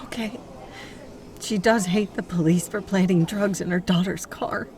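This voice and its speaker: A woman's voice: medium pitch, unhurried, a little mellow, a little hiss or hum, lightly acted. An actress playing a frightened but determined woman in an audio drama. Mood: wary, resolved.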